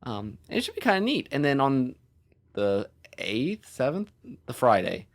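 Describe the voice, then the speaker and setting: A man's voice: medium pitch, huge pitch swings, a little slow. Rambling, self-deprecating man chatting casually. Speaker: podcast co-host and author. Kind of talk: casual podcast chat.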